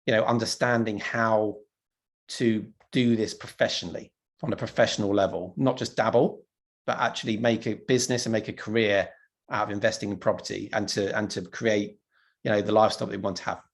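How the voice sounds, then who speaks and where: A man from London giving an online property presentation in a relaxed, conversational way, with lots of ums and restarts. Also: fairly low pitch, brisk. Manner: casual, many ums and restarts.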